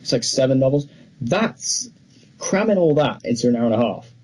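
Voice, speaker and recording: A man's voice: mid-range voice, animated, moderate pace, darkish tone, rough recording. A young man co-hosting a podcast, talking casually and chattily about films with firm opinions. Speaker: podcast co-host. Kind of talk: casual film discussion.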